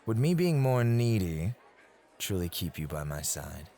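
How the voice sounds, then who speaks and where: Guy acting devoted club host, possessive n teasing, low voice, really slow intimate asmr. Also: very animated, dark tone, some chatter behind, full character voice.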